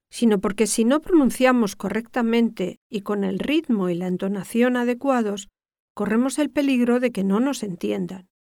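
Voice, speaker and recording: An older woman from Spain with a Castilian accent delivers scripted educational narration in a measured, didactic way, articulating carefully. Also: on the high side, a little mellow, no room sound, studio quality. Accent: Castilian Spanish. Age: 60s or older.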